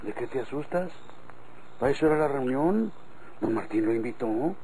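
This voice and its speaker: Actor as creaky, easygoing Mexican husband. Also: male voice, medium pitch, expressive, normal speed, warm tone, lightly acted, rough recording. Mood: complacent, reassuring. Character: easygoing, trusting, sleepy country husband. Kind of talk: old Mexican radio drama acting. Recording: old radio recording, faint noise.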